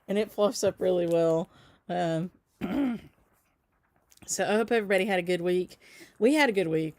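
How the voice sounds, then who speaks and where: A woman's voice: mid-range voice, darkish tone, no room sound. A woman who runs a stitching shop hosts a casual livestream, chatting with viewers, greeting them by name, with frequent ums and small laughs. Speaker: shop owner hosting a livestream.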